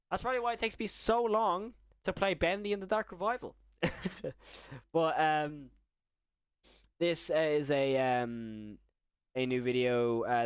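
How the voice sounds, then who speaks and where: A young man with a slight stutter, chatting excitedly on a live stream and often restarting his sentences. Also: medium pitch, smooth, dry recording, studio quality. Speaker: horror YouTuber streaming live. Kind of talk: casual live-stream chat.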